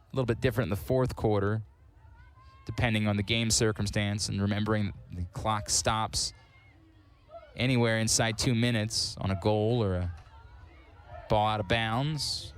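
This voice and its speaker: A man calling live play-by-play on a sports broadcast, with wry asides between plays. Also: on the low side. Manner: quick, excitable calls on big plays. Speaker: sports commentator.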